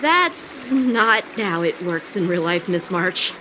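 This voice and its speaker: Polite, animated youth. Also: female voice, on the low side, slow, noisy background. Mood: polite, curious. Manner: polite, gently correcting. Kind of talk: anime-style video game voice acting. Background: game music.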